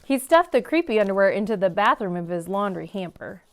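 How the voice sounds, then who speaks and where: A woman reads a picture book aloud for children at a library story time. Her pitch is medium, and she leans on key words for dramatic effect. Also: animated, brisk. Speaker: read-aloud host in a library.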